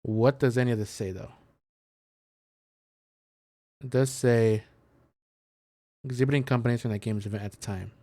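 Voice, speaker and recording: رجل يعلّق على لعبة بعفوية، بتسجيل جاف. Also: male voice, mid-range voice, fairly even intonation, fairly quick, warm tone, no echo. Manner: rambling, informal, searches aloud for words. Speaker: video game streamer and host. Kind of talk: casual livestream commentary, thinking aloud and reading posts out.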